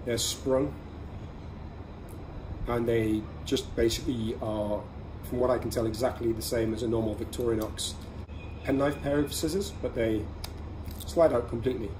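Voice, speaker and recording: A man hosting a casual product review, explaining things conversationally in short, measured phrases. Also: medium pitch, fairly even intonation. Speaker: podcast host reviewing everyday carry products. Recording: clearly audible ambient background noise.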